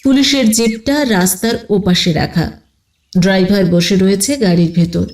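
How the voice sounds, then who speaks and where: A woman's voice: medium pitch, moderate pace, darkish tone. A woman narrating a scripted detective story as an audio storyteller. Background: music.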